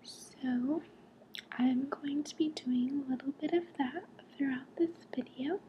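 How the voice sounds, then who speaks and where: A woman whispering softly and breathily close to the mic, guiding a relaxation session in a gentle, reassuring manner. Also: high voice, unhurried, slight background noise, poor audio. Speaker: ASMR host guiding relaxation.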